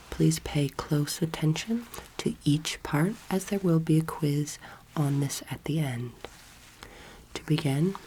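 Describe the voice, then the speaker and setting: A young woman speaking softly and breathily, often near a whisper, in a slow, gentle ASMR roleplay lecture as a teacher. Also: sits low, slight background noise, low-quality recording. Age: young adult. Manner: slow, gentle, deliberate instruction.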